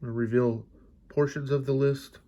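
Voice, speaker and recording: A man's voice: medium pitch, fairly even intonation, a little fast, warm tone, muffled recording. A congested, stuffy-sounding man opening card packs and chatting casually, musing aloud with lots of fillers. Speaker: card collecting channel host. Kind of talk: casual pack-opening commentary.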